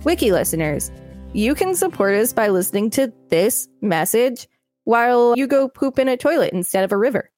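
A woman co-hosting a podcast, reading an article aloud and bantering in a deadpan, teasing way. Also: fairly high pitch, moderate pace, no room sound, faint music behind. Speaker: podcast co-host.

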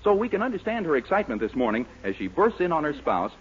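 A man with a fairly high, animated voice announces in polished 1940s American radio style. He sells each phrase with emphasis. The sound is muffled vintage broadcast. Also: quick pace, slight background noise.

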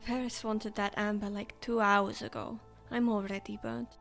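A man's voice: sits high, little pitch movement, normal speed, vocal fry, soft background music, voice pushed up. A man voicing a tired, kind young female dryad in a storybook reading. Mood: weary. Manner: soft, subdued.

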